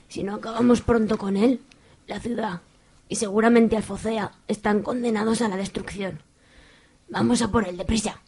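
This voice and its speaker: A woman's voice: mid-range voice, darkish tone, slightly rough. A woman playing an urgent mother warning of doom in a comic radio soap, with a dramatic delivery.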